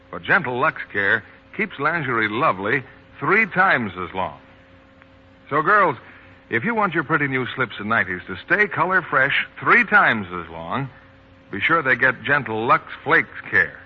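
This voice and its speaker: A man's voice: mid-range voice, breathy edge. A man announcing a 1940s radio commercial with an emphatic, salesmanlike delivery. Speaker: announcer and sponsor spokesman. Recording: dull, faintly noisy 1940s radio broadcast.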